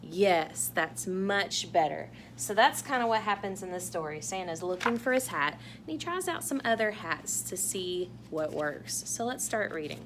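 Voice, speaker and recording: A woman reading a picture book aloud to young children in a playful, animated way, asking exaggerated wondering questions. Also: lowish voice, moderate pace, hardly any pauses, a little hiss or hum. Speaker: children's read-aloud host.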